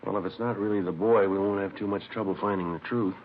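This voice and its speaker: A man's voice: lowish voice, steady tone, fast, a little hiss or hum, close to an everyday voice. A man playing a no-nonsense police sergeant who also narrates, matter-of-fact and clipped, in a 1950s radio drama. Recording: dull vintage radio recording.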